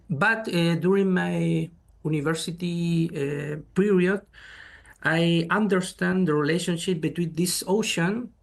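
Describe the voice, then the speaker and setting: A middle-aged man with a Chilean Spanish accent, a guest researcher answering interview questions in halting English with many fillers and restarts. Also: fairly high pitch, gravelly, a bit bright.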